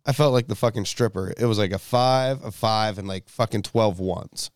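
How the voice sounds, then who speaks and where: Raspy-voiced man telling a story. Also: lowish voice, normal speed, no room sound, clean studio sound. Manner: profane, laid-back storyteller. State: hoarse after a night of drinking. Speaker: DJ and podcast co-host. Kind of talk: casual storytelling chat.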